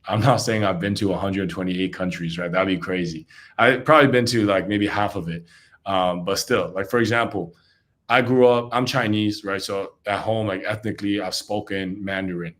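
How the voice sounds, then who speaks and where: A man who grew up in Congo, a startup CEO, giving casual interview answers over a remote call and often tagging his points with "right?". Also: low-pitched. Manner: conversational, often tags "right?".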